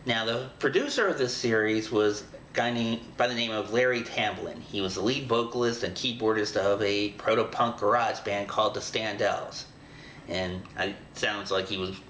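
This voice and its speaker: Low-voiced man hosting in an echoey room. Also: a bit bright. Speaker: show host. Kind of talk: informal presenting. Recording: echoey room, faint noise.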